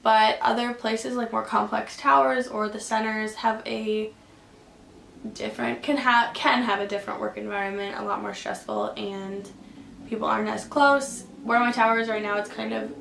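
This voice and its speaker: A young woman from Canada talks casually through viewer questions, her speech full of likes and ums. Her voice sits a bit high with a gravelly fry. The room is echoey, with a faint hum behind her. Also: steady tone, moderate pace, a bit bright. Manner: chatty, lots of fillers and likes. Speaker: air traffic controller answering viewer questions. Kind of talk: casual Q and A vlog.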